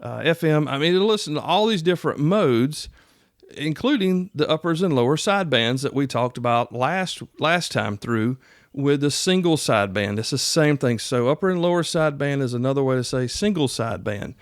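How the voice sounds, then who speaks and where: A man's voice: medium pitch, expressive, normal speed, dry recording, studio quality. A middle-aged man hosting a podcast, explaining things in a casual, folksy, conversational way. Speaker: podcast host. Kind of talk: casual instructional podcast talk.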